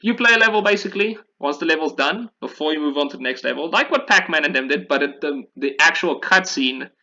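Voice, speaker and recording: A man's voice: on the high side, expressive, fast talker, bright, forceful tone, lo-fi sound. A man hosting a casual discussion, explaining things in a rambling, informal way. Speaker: podcast host. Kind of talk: casual video game history discussion.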